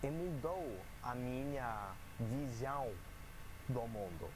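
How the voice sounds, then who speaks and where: A man learning a language, talking in a hesitant, halting way and searching for words. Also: mid-range voice, lively intonation. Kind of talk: casual conversation. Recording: noisy clip from another video.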